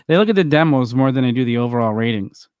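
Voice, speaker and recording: A man's voice: mid-range voice, lively intonation, quick pace, darkish tone, smooth, no room sound, dull audio. A man co-hosting a wrestling podcast, rambling and teasing in casual chat.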